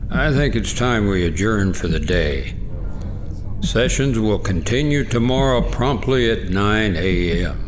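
An actor playing a measured, authoritative male judge in a courtroom audio drama, speaking formally. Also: fairly low pitch, lively intonation, noisy background. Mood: composed, official.

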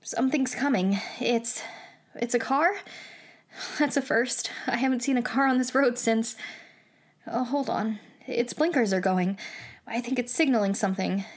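An actress playing a playful, mischievous watchtower traffic reporter who chats and teases on air in an audio drama. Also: female voice, on the high side, moderate pace, lightly acted. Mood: mock alarm. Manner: teasing, flippant, theatrically wry. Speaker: traffic reporter on a night radio broadcast. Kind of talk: audio drama acting, chatty radio broadcast.

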